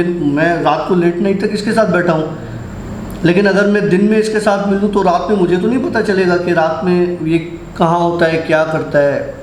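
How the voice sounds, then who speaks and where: A man tells a scary story as if to a friend, in a slightly high voice. He takes his time and leaves dramatic pauses. The sound is roomy and echoing. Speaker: horror storyteller on a podcast. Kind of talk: casual first-person ghost storytelling. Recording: roomy echo, faint background noise.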